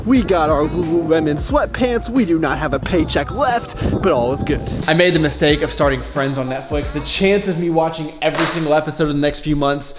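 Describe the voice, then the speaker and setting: A young man in his twenties with a somewhat raised voice chats upbeat and jokey straight into a handheld camera. He sounds close and casual. Music plays clearly underneath him. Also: a little high. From Texas. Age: 20s. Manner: upbeat, chatty, joking asides to viewers. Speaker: fitness vlogger. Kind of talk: casual vlog talk to camera. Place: gym, outdoors walking, home kitchen. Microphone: camera mic, handheld at arm's length.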